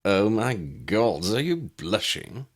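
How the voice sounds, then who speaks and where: A man voicing a refined, well-to-do young gentleman wizard with a wry, teasing manner in an audiobook. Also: lowish voice, very animated, takes it slowly, heavily acted. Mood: amused teasing.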